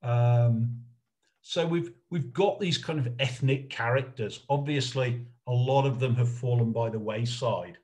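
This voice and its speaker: A middle-aged man with a mid-range voice gives an informal talk as a lecturer. He speaks in a halting way, working out his thoughts aloud as he explains. It sounds like an online slideshow presentation made from indoors. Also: medium pitch, darkish tone. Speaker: lecturer on puppet history.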